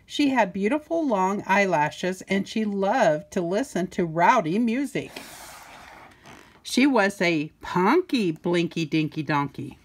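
An older woman reading a children's picture book aloud in a playful, sing-song way with deliberate emphasis. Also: mid-range voice, lively intonation. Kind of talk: storybook read-aloud.

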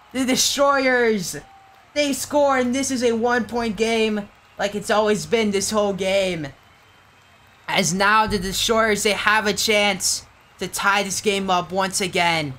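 A tired young man doing casual, rambling play-by-play commentary for an online game league, getting excited on big plays. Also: high-pitched.

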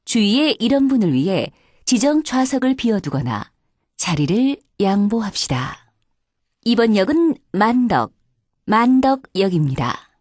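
A woman's voice: medium pitch, sing-song, unhurried, a little mellow, no echo, clean studio sound. A woman reading formal scripted subway announcements in a polite, carefully enunciated way.

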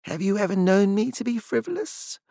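Male narrator doing a icy bossy woman, higher voice, formal and severe. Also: animated, brisk, creaky, warm tone, dry recording. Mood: cold, stern. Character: cold, stern, commanding woman.

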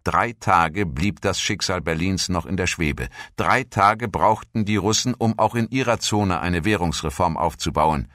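A man with a low voice narrates a scripted documentary. His delivery is formal and measured, like prepared text read aloud. The sound is dry, with very little room around the voice. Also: fairly bright tone, no echo.

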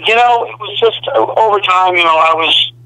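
A young man, a rock band's singer, talking on a phone interview in a relaxed, rambling way full of "you know.". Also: sits high, moderate pace, creaky. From Arizona. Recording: dry telephone line.

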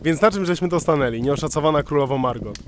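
A man plays a clownish devil's retainer in a radio play. He is florid, flattering and long-winded, in a somewhat high voice. A little noise sits on the recording. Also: fast, close to an everyday voice. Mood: fawning, jovial. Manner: florid, fawning, theatrical. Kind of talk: amateur radio drama acting.

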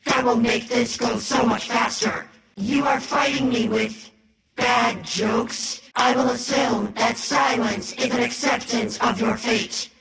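A woman playing an imperious supercomputer villain in a video game, speaking in measured lines with a reverberant machine effect. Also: on the high side, creaky, fairly bright tone, heavily acted. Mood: cold. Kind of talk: dramatic game villain acting. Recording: cavernous echo, distant, music behind.

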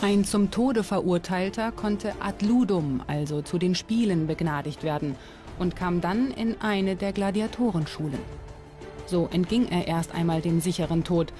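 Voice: medium pitch, moderate pace. Documentary narrator voice, scripted read, music going behind it. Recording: music bed under narration.

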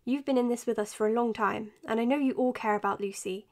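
A woman's voice: on the high side, steady tone, quick pace, close to an everyday voice. An actress playing a caring, tired woman doctor in a naturalistic audio drama, speaking earnestly and hesitantly. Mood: earnest, subdued. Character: anxious, caring, tired young female doctor. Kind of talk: naturalistic audio drama, confessional podcast narration.

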